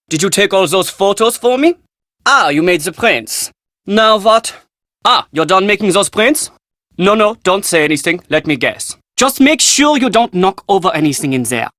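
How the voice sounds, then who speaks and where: A man's voice: sits high, a bit bright, no echo, clean studio sound. A man playing a vain, prickly German fashion photographer in a video game, with a German accent and a pompous, sardonic, condescending manner. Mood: bored, condescending. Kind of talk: video game character dialogue.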